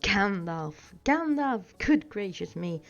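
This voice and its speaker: A woman voicing a fussy, polite and excitable male hobbit homebody in a storybook reading. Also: mid-range voice, takes it slowly. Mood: excited. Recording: dull sound with music behind.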